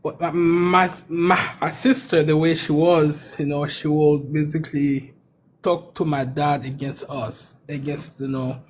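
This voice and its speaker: Halting Haitian-accented man, slightly high voice. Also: flat delivery, slight background noise. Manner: halting, stammering repetitions. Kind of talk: personal story in casual live chat.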